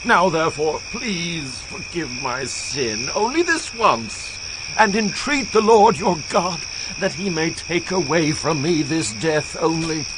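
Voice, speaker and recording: A man's voice: fairly high pitch, expressive, noisy background. An actor playing a proud, volatile Egyptian king in a dramatized audio Bible, with theatrical, commanding delivery. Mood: desperate pleading. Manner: theatrical, commanding, drawn-out emphatic phrases.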